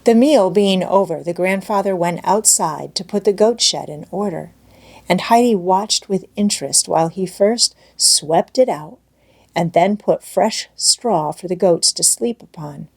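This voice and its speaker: A woman's voice: fairly low pitch, measured pace, vocal fry, breathy edge. A woman reading scripted storybook narration as an audiobook narrator.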